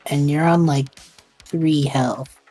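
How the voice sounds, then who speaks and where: A woman voices a supportive young man out of his depth in an audio drama. The voice is low, mellow and a little husky. He talks casually and hesitantly, with wry humor. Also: steady tone, slow pace, faint music behind, heavily acted, low-quality recording. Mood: teasing reassurance. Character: bewildered, supportive young man lost in another world.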